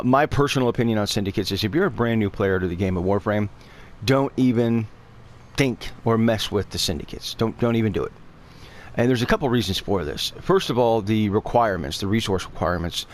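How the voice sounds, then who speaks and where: A man with a stuffy voice from allergies, talking through a game guide in a casual, rambling way that is full of fillers and self-corrections. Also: fairly low pitch. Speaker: gaming guide creator. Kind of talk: casual game tutorial narration.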